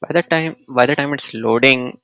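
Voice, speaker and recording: A man giving casual, halting gameplay commentary as a streamer, musing in broken phrases. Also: mid-range voice, bright, forceful tone, no echo. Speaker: gaming streamer.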